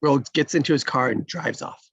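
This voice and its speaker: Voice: mid-range voice, normal speed, creaky, fairly bright tone, husky, no room sound, dull audio. A narrator reading screenplay stage directions aloud in a scripted table-read style.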